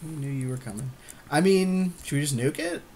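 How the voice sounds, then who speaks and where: A man's voice: on the high side, animated, a little fast, a little mellow, a little hiss or hum. A man co-hosting a podcast, chatting casually through a game in short, agreeable remarks. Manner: agreeable, brief interjections. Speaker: podcast co-host. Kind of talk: casual game commentary with a co-host.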